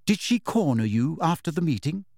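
A man's voice: fairly high pitch, very animated, normal speed, a little mellow, no room sound, voice pushed up. Audiobook role: exasperated, businesslike clever teen girl, performed by a male narrator, dry close sound. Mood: brisk, concerned. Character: brisk, bossy, know-it-all teenage girl.

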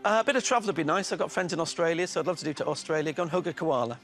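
A man's voice: a little high, lively intonation, rapid-fire, a bit bright, soft background music. A man in his forties from North Wales, a quiz contestant, chatty and hesitant with frequent ums. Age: 40s.

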